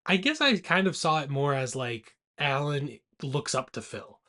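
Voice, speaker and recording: Blunt, higher-voiced man in casual chat. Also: lively intonation, measured pace, bright, forceful tone. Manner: blunt, teasing, informal. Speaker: podcast co-host. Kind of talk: rowdy casual movie chat.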